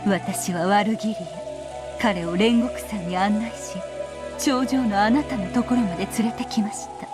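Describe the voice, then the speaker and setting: A woman playing a stern, motherly witch mentor in a Japanese visual novel, speaking in a measured, solemn, lecturing way. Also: medium pitch, music in the background, natural voice. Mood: stern, urging.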